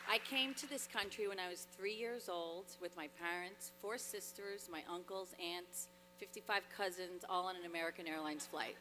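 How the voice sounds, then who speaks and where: A woman's voice: fairly low pitch, moderate pace, hardly any pauses, crowd noise. A woman in her thirties gives an earnest, grateful award acceptance speech at a podium microphone on stage, with frequent fillers and restarts. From Dominican Republic. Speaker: award honoree. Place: large hall, on stage at a podium. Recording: long reverb in a large hall, faint hum.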